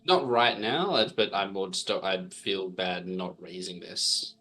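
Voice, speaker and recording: A man playing a tabletop game, talking things through in a measured, tentative way. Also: low voice, pushed and bright. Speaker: player in a tabletop roleplaying game. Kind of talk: casual in-character discussion.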